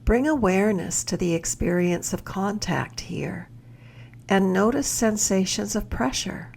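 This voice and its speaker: A woman leading a guided meditation as a mindfulness mentor, with gentle, measured instruction and soft, breathy phrase endings. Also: mid-range voice, animated, a little hiss or hum.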